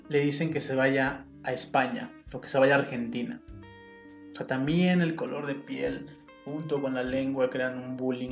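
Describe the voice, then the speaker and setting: A man with a Mexican accent hosting a reflective solo podcast, talking in an earnest, opinionated way and thinking aloud with small restarts. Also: mid-range voice. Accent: Mexican Spanish. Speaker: podcast host. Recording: roomy sound with faint guitar music behind.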